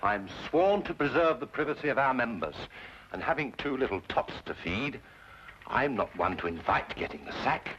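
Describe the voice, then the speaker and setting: Stubborn club servant, swooping intonation. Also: male voice, mid-range voice, lively intonation, moderate pace, a bit bright. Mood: guarded, stubborn. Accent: British. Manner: deferential but unyielding. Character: stubborn, discreet working-class male servant at gentlemen's club. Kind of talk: old-time radio drama acting. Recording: dull, noisy old radio drama recording.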